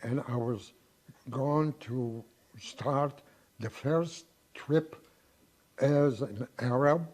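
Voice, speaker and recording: A man in his nineties giving an oral history interview, reminiscing in a halting way with frequent pauses and restarts. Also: mid-range voice, a little mellow. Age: 90s.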